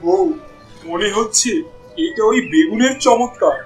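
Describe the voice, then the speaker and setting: A man's voice: very high-pitched, sing-song, a little slow, heavily acted. A man playing a poor, weary village fisherman and loving father in a cartoon, with a plaintive, folksy way of exclaiming. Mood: happy, eager. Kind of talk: cartoon voice acting. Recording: background music under the voice.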